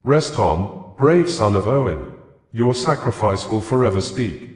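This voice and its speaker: Male news narrator, lowish register, formal scripted delivery, noticeably roomy sound. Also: big pitch swings, a little slow, a little mellow. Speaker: news reader.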